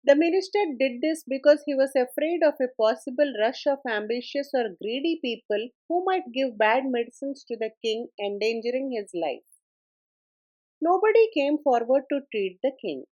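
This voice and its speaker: A woman's voice: high-pitched, expressive, moderate pace, darkish tone, lo-fi sound. A woman narrating a scripted story as a storyteller.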